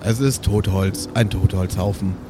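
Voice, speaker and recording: A man's voice: sits low, steady tone, moderate pace, background noise. A man speaking casually as a player in an improvised tabletop role-playing game.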